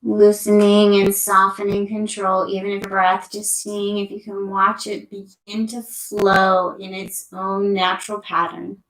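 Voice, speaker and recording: Female yoga nidra guide: gentle, leisurely delivery, mid-pitched, roomy amateur recording. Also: monotone. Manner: gentle, unhurried, soothing instruction. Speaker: meditation teacher. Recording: echoey room, amateur sound quality.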